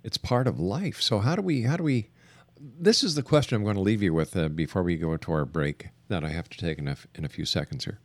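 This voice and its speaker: Low-voiced male radio interviewer, dry sound. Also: animated, dry recording. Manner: deliberate, halting, rephrasing questions.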